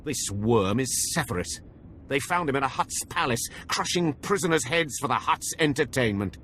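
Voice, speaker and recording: A man's voice: medium pitch, expressive, moderate pace, a bit bright, slight background noise, natural voice. A man acting a cruel, contemptuous overseer of slaves in a video game cutscene, with a sneering, scornful manner. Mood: sardonic. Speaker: Sith academy overseer character.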